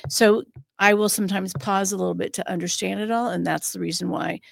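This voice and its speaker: Creaky-voiced woman hosting a podcast. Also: mid-range voice, fairly even intonation, a little fast, no room sound, studio quality. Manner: chatty, with frequent fillers and restarts. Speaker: podcast host and psychic medium. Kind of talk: casual podcast chat.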